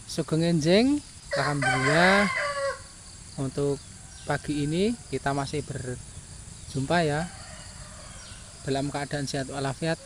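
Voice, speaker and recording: Unhurried Javanese village man, noisy outdoor recording. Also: on the high side. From rural Java, Indonesia. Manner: repetitive, often tagging phrases with a questioning filler. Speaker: village vlogger, farm labourer. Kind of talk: casual vlog narration of daily chores. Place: outdoors by a goat pen in a village.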